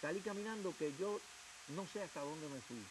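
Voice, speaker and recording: A man's voice: a little high, normal speed. A man with a Cuban Spanish accent, a guest giving emotional personal testimony in a television interview. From Cuba. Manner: emotional storytelling, acting out quoted officials. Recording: hissy TV broadcast audio.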